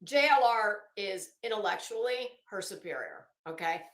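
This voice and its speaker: A middle-aged woman giving casual live commentary in a halting, deliberate, emphatic way. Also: mid-range voice, animated, fairly bright tone. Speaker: YouTube commentator and host. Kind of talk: casual live commentary with document read-outs. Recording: echoey room.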